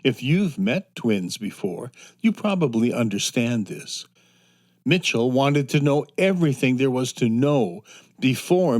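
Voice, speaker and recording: A man narrating a children's story from a script in a measured, expressive storytelling manner. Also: medium pitch. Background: soft music.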